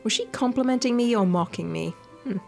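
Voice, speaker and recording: Expressive woman reading aloud over music. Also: on the high side, brisk, creaky. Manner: expressive read-aloud with playful asides. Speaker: gaming video creator. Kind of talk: visual novel playthrough, reading aloud with reactions. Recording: music behind the voice. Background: game music.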